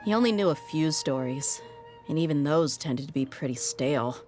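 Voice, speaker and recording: Tough young merc woman, low voice, frank n wry, world weary, game dialogue w faint music. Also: lively intonation, normal speed, a little mellow. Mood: wry, reflective. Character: tough, jaded young woman desert mercenary. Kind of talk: video game voice acting, story dialogue.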